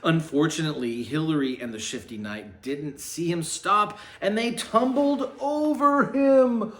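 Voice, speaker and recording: A man reading a storybook aloud with a theatrical, deliberate delivery. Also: a little high, fairly bright tone. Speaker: storybook reader. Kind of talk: animated read-aloud storytelling. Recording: echoey room, faint background noise.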